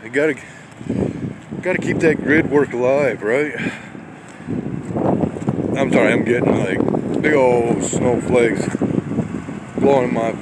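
A man's voice: medium pitch, takes it slowly, long pauses. A man talking casually while he walks small-town streets outdoors in the snow, with rambling, folksy exclamations into a handheld phone. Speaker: vlogger on a weather walk. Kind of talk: casual walking commentary. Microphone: handheld phone camera. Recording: wind noise on the mic.

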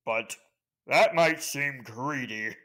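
A man's voice: mid-range voice, sharp tone. A man voicing a pompous, self-satisfied male elephant in a children's storybook. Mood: smug. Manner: pompous, slow.

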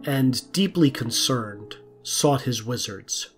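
A man's voice: medium pitch, lively intonation, slow pace, faint music behind. A man reading scripted storybook narration for an audio drama as its narrator.

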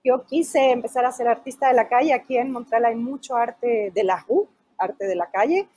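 A woman's voice: on the high side, moderate pace. A woman in her thirties from Mexico with a Mexican Spanish accent, an illustrator talking animatedly in a video call interview over an earphone mic. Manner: animated, gestures while talking. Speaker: illustrator and children's author. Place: indoor room at home. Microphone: earphone headset mic on a video call.

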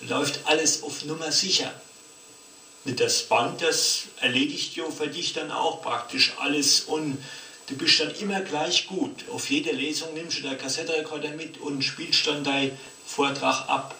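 A man's voice: a little high, fairly even intonation, moderate pace, sharp tone. Echoey, distant man, rambling. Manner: colloquial, rambling, with tag questions. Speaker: poetry slam performer. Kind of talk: comic spoken-word monologue, played from a cassette recorder. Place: cassette playback in a cinema hall, picked up from the audience. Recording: distant, echoey, faintly noisy cassette playback in hall.

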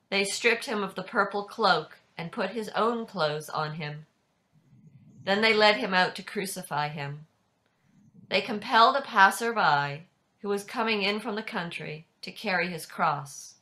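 A woman reading scripture aloud as a dramatic narrator, measured and deliberate, at home on a video call. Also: medium pitch, pushed and bright.

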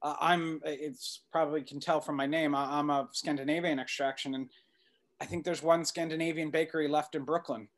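A middle-aged man with a somewhat high voice talks as an interview guest. He answers conversationally, halting as he thinks, from a room on a video call. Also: flat delivery. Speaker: documentary filmmaker being interviewed. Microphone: computer mic on video call.

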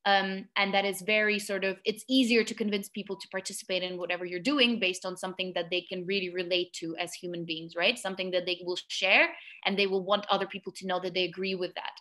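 A woman's voice: medium pitch, bright, forceful tone. A young woman presenting a webinar over a video call, talking casually with fillers and restarts. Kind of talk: webinar talk over slides. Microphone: video call webcam.

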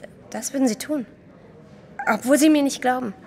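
A woman's voice: sits high, moderate pace, a little mellow. An actress playing a frightened, indignant young woman in an audio drama, stammering hesitantly at times and speaking emphatically. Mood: upset. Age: young adult.

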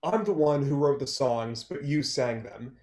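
Bitter, guarded male songwriter played by a man for a stage-play reading. Mid-pitched, terse, sarcastic, in a roomy echo. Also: a little slow, darkish tone, close to an everyday voice. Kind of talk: online one-act play table read. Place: small room at home, video call. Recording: roomy, echoey video-call sound.

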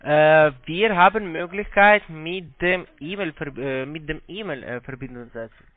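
A man who is not a native German speaker, talking haltingly in accented, broken German on a sales call, evasive in manner. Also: fairly high pitch, dry recording. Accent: foreign accent in German. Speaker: call-centre scammer posing as trading platform agent. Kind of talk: phone sales conversation. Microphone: telephone. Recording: muffled tinny phone line.

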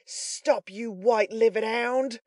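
A man voicing a savage, desperate robber in an audiobook, growling and shouting with fury. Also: extremely high pitch, slow, no room sound, studio quality, pitched above the performer's own voice. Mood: furious shouting. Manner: growling, muttering through ground teeth, shouting.

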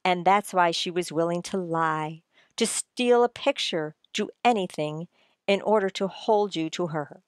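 A woman playing a shrewd writer-sleuth who explains things theatrically and deliberately in an old-time radio mystery. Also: lowish voice, clear, resonant tone, no echo, clean studio sound, close to an everyday voice. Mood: knowing, suave.

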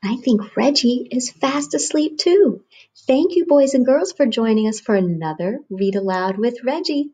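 A woman's voice: on the high side, lively intonation, a little slow, warm tone, husky. A woman, narrating a picture book expressively for children as a storyteller. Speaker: read-aloud host, storyteller. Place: small room at home, on a couch.

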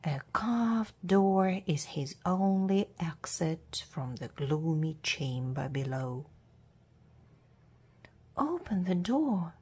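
Very slow, husky woman reading a storybook. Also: on the low side, very animated, darkish tone. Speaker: storybook reader. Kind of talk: slow scripted storybook narration for children.

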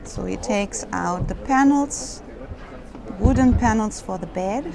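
A woman's voice: medium pitch, slow, creaky, crowd noise. A woman exhibitor demonstrating a small caravan at a trade fair, explaining features in simple, non-native phrasing. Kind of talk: product demonstration.

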